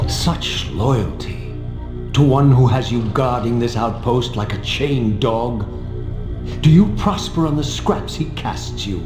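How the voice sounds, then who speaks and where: A man playing a bitter, vengeful vampire wraith in a video game, measured, eloquent and theatrical. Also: medium pitch, lively intonation. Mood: scornful. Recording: echoing, music underneath.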